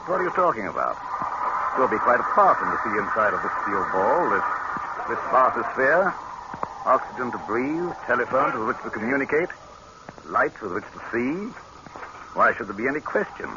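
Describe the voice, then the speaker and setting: A man playing a smug, unhurried, patronizing dictator in 1930s radio drama style. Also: medium pitch, sing-song, close to an everyday voice. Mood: self-assured. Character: smug, leisurely dictator amused by danger. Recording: muffled, noisy old radio recording.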